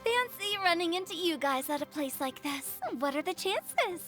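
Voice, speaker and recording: A woman's voice: extremely high pitch, expressive, moderate pace, fairly bright tone, soft background music. A woman voicing a mischievous, playful teenage girl in a video game, coy and theatrical. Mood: sheepish, playful. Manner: coy, theatrical, sing-song.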